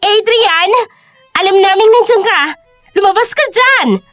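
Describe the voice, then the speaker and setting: A woman playing a haughty rich elder sister who sneers and shouts insults in an audio drama. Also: very high-pitched, a little slow, no echo, soft background music, pitched above the performer's own voice. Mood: furious demanding.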